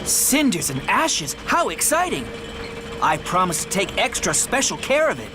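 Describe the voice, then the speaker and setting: An actor playing a cheerful, eager, naive young tank engine in a children's cartoon. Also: male voice, sits high, huge pitch swings, normal speed, over music. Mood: excited.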